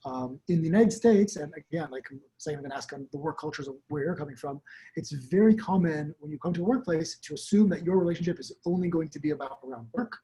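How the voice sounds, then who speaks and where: Man, thirties, rapid chatty delivery, slightly husky voice, video call. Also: a little high, mellow, poor audio. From Israel. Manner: fast, chatty, frequent fillers and restarts. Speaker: tech speaker leading a webinar. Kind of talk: casual presentation with questions to attendees.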